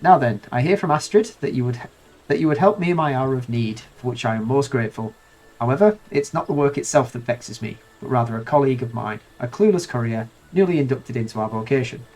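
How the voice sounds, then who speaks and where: A fussy moogle courier from a fantasy game, swamped and complaining about a useless new colleague, as a man with a head cold reads him aloud. The voice sits at a middling pitch with a stuffy, nasal tone, and the phrasing is ornate and exasperated. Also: fast talker, darkish tone, lightly acted, low-quality recording. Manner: flowery, exasperated read-aloud dialogue. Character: fussy, harried male moogle letter courier.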